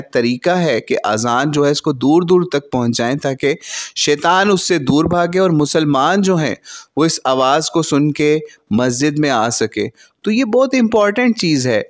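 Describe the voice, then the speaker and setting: A man who cites scripture by number and argues a religious point in an earnest, lecturing way. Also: a little high. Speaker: religious commentator. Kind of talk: argumentative religious rebuttal.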